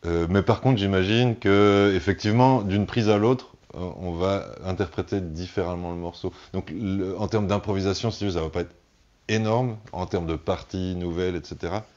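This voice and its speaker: A man, a band musician, answers in a relaxed interview, explaining things thoughtfully in halting, searching phrases. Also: low-pitched, muffled recording.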